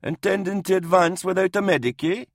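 A man's voice: high voice, brisk, no room sound, clean studio sound, voice pushed up. A man voicing a frail but stubborn and dignified old regimental doctor in an audiobook, speaking earnestly and insistently. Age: older. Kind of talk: audiobook character voice in military science fiction.

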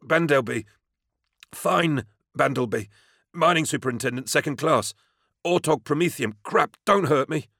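A man's voice: mid-range voice, unhurried, gravelly, long pauses, a bit bright, no room sound, clean studio sound, voice pushed up. A man voicing a frightened, gawky mining superintendent with a panicky, pleading manner in an audiobook.

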